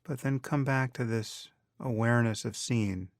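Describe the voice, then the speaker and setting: Slow, gravelly man guiding meditation. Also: medium pitch, dry recording, studio quality. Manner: calm, measured, long pauses between short phrases. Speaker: meditation teacher. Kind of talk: guided meditation instruction.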